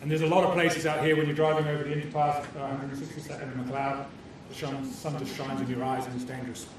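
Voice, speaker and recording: A man's voice: fairly high pitch, level tone, quick pace. A man hosting a club meeting, cheerful and bantering as he opens the evening with jokes and a safety talk. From new Canadian citizen. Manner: cheerful, bantering, self-deprecating. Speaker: meeting host opening a club meeting. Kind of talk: jokey public-speaking address to a club audience. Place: meeting room with a live audience. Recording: echoey room, far-off mic, noisy.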